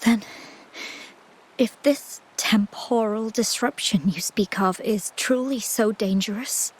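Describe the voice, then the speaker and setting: An actress voicing a gentle, anxious, ailing young woman in a video game, speaking softly and politely with hesitant stammers. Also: mid-range voice, slow pace, slightly rough, poor audio. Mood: somber.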